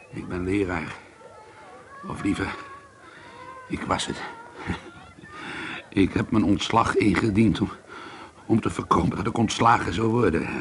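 An actor playing a troubled, bitter schoolteacher and painter in a radio drama, hesitant and confiding, repeating himself as he broods. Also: lowish voice. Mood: bitter, confessional. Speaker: lead actor and first-person narrator. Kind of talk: radio drama monologue and dialogue. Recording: noisy old radio play recording.

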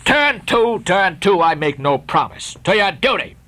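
A man voicing a harsh ship's captain who roars slow, drawn-out commands at his crew. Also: high-pitched, sing-song, sharp tone, pitched above the performer's own voice, full character voice. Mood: roaring orders. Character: stern shouting ship captain, man, harsh.

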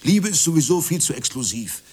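A man's voice: fairly high pitch, very animated, brisk, a little mellow, slightly rough. An actor playing a bitter, jealous working-class man in a radio play, scornful and ranting with emphatic delivery. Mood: scornful lecturing. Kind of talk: naturalistic radio play dialogue.

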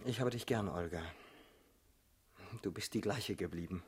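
An actor playing a troubled, doubting male political assassin in a German radio play, with a husky voice and a brooding, earnest delivery. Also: sits low, normal speed. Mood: wistful, tender.

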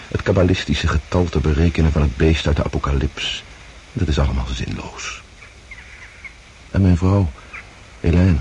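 A captive Russian nobleman with a very low voice, gentle and earnest. He speaks as a reflective idealist in a radio play, with faint noise on the recording. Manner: contemplative, earnest. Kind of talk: radio drama acting.

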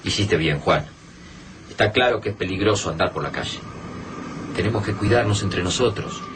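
Man playing a grim pragmatic survivor, Rioplatense Argentine, lively firm delivery, radio play, noisy recording. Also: low voice, moderate pace. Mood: urgent. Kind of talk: radio drama acting.

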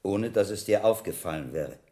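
Deep, mellow monk investigator. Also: male voice, animated. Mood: probing, calm. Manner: measured, probing, coolly reasoning. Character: shrewd, calm monk investigator, methodically questioning. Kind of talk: German radio drama acting.

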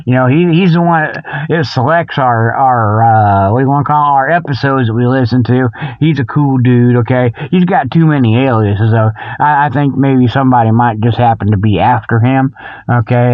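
A man's voice: mid-range voice, moderate pace, no room sound, phone-quality sound. A man hosting a comic show solo, rambling in a folksy, colloquial way and often repeating himself as he thinks aloud. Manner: folksy, colloquial, with mock exasperation. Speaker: host of a comic Bigfoot show.

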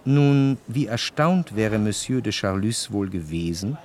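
A man narrating a literary radio drama, phrasing long, scripted sentences in a measured way. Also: on the low side, expressive, a little mellow, slight background noise. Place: dry studio.

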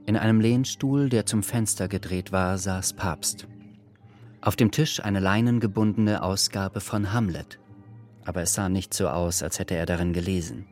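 A man's voice: sits low, steady tone, moderate pace, a little mellow, soft background music. A man reading scripted literary narration as the narrator of a radio drama.

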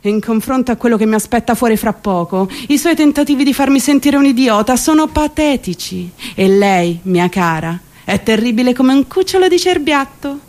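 An actress playing an exasperated, sardonic commuter worn down by city life, in a theatrical radio monologue with ironic, emphatic delivery. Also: female voice, on the high side, expressive, moderate pace, hardly any pauses, darkish tone, natural voice. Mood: disdainful. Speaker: stage actress.

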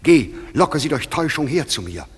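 An actor playing a commanding, stately fairy king in a radio drama, speaking measured, imperious verse. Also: on the high side, very animated, slightly rough, long reverb tail, heavily acted. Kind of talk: classic stage-verse radio drama acting.

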